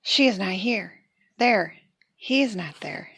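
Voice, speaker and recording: A woman giving an expressive read-aloud of a children's picture book, acting out the characters. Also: mid-range voice, takes it slowly, vocal fry, slightly rough, no room sound, clean studio sound.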